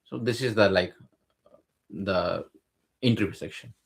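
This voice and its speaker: Man, lower register, hesitant conversational explainer. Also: measured pace, vocal fry. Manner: hesitant, many fillers and restarts. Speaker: guest sharing exam experience.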